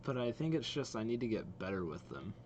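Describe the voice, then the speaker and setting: A man's voice: medium pitch, very animated, fairly quick, darkish tone, a little hiss or hum. A young man hosting a game reaction video, giving casual, off-the-cuff commentary. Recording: faint game music behind voice. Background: game trailer music.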